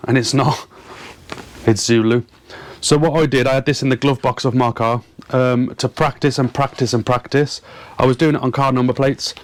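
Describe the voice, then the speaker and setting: A British man giving an informal explainer to camera as a channel host, plain-spoken and repeating points for emphasis. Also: mid-range voice. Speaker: boating and fishing channel host.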